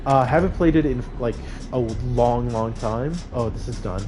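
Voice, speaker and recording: A male game streamer with a slightly low-pitched voice talks in a loose, slangy, conversational way. He chats with viewers and reacts aloud to the game, with music clearly playing behind him. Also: normal speed. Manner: informal slangy banter, reacting aloud to gameplay. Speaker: video game livestreamer. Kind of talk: casual gaming stream chat with live reactions.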